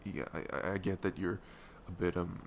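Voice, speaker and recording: A man playing a tender, nervous best friend in an intimate ASMR roleplay, speaking softly and hesitantly in a low, gravelly voice close to the mic. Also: fairly even intonation, brisk, a little hiss or hum. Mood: apologetic, awkward. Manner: hesitant, stammering, soft-spoken.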